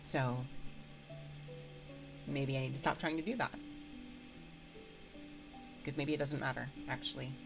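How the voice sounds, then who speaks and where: A woman hosting a casual livestream chat, thinking aloud in a hesitant, halting way into a close microphone. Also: rumbling low, fairly even intonation, vocal fry, music in the background. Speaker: livestream host. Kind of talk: casual livestream chat, answering viewer comments. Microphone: close binaural microphone.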